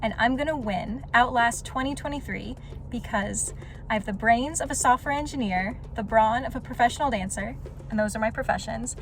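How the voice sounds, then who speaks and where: A woman's voice: on the high side, normal speed, slight background noise. A woman in her thirties from Massachusetts, eagerly introducing herself as a contestant. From Massachusetts, USA. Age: 30s. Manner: eager, excited. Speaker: reality game contestant.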